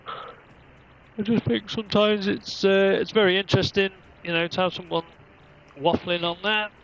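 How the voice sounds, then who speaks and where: A man's voice: sits high, level tone, measured pace, gravelly, a bit bright, poor audio. A man commentating casually on an online racing livestream, rambling along in an easygoing, hesitant way.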